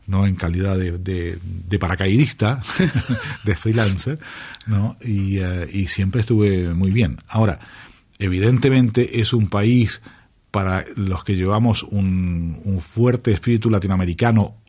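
Older man, husky low voice, reflective radio guest, casual chat. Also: measured pace, a little mellow. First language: Italian is his second mother tongue. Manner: reflective, with frequent fillers and tag questions. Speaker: retiring radio colleague, interview guest. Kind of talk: relaxed conversational interview.

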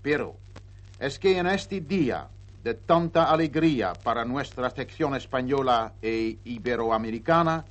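A man with a Dutch accent, Spanish not his first language, giving a measured, ceremonious prepared speech as a radio program director. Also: mid-range voice, expressive.

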